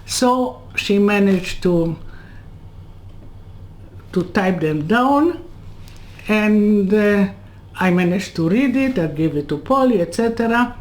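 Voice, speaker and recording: A woman in her eighties from Serbia, telling her life story in an interview, in halting non-native English full of asides. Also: mid-range voice, lively intonation, darkish tone. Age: 80s. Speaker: Holocaust survivor interviewee. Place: home room lined with bookcases. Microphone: webcam on a video call.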